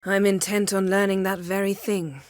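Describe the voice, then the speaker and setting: An actress voicing a proud, sharp-tongued sorceress in a fantasy video game, with a crisp, imperious, dryly sardonic delivery. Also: female voice, mid-range voice, level tone, normal speed, no room sound, clean studio sound, close to an everyday voice. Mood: composed, dry.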